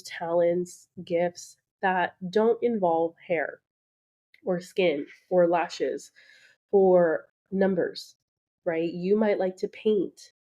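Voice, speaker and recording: A woman's voice: fairly low pitch, dark tone, dull audio. A woman hosting her own podcast as a beauty business coach, talking casually and encouragingly with plenty of ums, a little nasal and congested from allergies. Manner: chatty, encouraging, many ums. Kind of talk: casual solo podcast talk.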